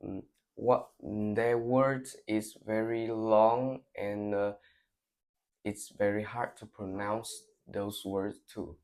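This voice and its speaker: A thirteen-year-old boy with a Vietnamese accent answering speaking test questions in halting English with hesitations. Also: fairly low pitch, little pitch movement, darkish tone. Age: teens. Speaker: student candidate.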